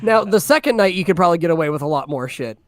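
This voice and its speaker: An enthusiastic man on a stream call, a guest DJ who laughs his way through stories. His voice is a bit high for a man and smooth and crisp, recorded very dry with no room sound. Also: lively intonation, dry recording. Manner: enthusiastic, laughs while telling stories, fast bursts. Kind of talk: casual chat on a voice call.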